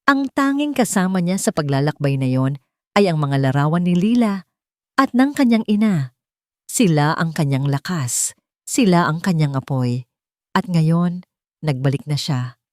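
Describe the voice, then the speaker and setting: A woman reading scripted dramatic narration as a storyteller, in measured short phrases. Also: low-pitched, expressive, a little mellow, dry recording, studio quality.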